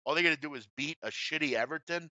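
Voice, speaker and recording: A man with a bright, forceful voice hosts casual sports betting talk. He is blunt and happy to swear, often breaking off into asides. The sound is very dry and slightly dull. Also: on the high side, fast talker, dry recording. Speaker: sports betting show host.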